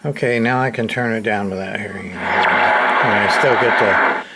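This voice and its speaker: A man's voice: lowish voice, moderate pace. A man casually narrating hands-on repair work as a hobbyist, plain-spoken and muttering a little as he works. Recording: clear background noise.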